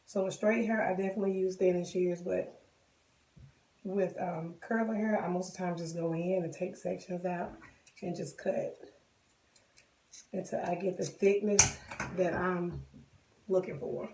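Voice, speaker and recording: A woman with a cold and a hoarse voice, chatting casually to viewers as she walks them through a wig styling tutorial. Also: on the low side, fairly even intonation, unhurried, long pauses, mellow, recorded from a distance. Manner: chatty, addresses viewers directly. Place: bathroom. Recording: echoey bathroom, voice off-mic.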